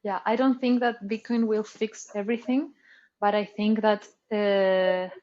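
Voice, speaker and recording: A woman's voice: medium pitch, steady tone, measured pace, dark tone, muffled recording. A woman from Chile, a guest in a casual interview, thinking aloud and searching for words. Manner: thoughtful, searching for words with many "like"s and restarts. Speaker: artist guest.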